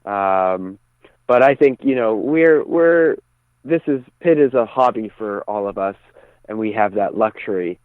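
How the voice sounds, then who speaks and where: A man's voice: fairly low pitch, measured pace, no room sound. A man giving a casual, conversational radio interview over the phone, speaking a bit hesitantly. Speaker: band singer, phone-in guest. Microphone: phone call. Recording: tinny narrow phone line.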